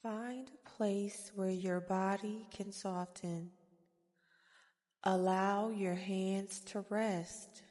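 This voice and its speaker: A woman guiding a meditation in a soft-spoken ASMR style, with soothing, gentle, deliberate phrasing. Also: medium pitch, steady tone. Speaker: guided meditation host. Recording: long reverberant echo.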